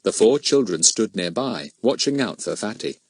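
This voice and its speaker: A man narrating a children's story from a script in an expressive, animated way. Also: fairly low pitch, normal speed, husky, no room sound. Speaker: audiobook narrator.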